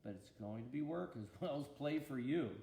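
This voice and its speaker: A man's voice: mid-range voice, animated, fairly quick, gravelly, mellow, roomy sound. A man voicing a thoughtful, patient God who explains his plans to his angels in a storybook reading, with a reassuring manner. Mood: patient, pensive.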